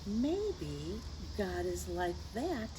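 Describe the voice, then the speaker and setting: Slow, very expressive woman reading to children. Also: medium pitch, background noise. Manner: gentle teacherly, addressing young children with questions and asides. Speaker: children's story time host and reader. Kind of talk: picture book read-aloud for children.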